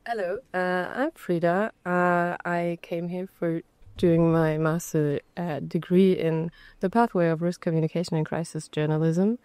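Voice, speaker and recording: A woman with a mellow, smooth voice introduces herself as a master's student. She hesitates, with fillers between her thoughts. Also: fairly low pitch, steady tone, a little slow, no room sound. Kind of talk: self-introduction.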